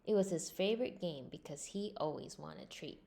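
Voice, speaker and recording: A woman reading a scripted children's storybook aloud in an expressive way. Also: sits low, normal speed, vocal fry. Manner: expressive, acts out character lines. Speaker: storybook reader.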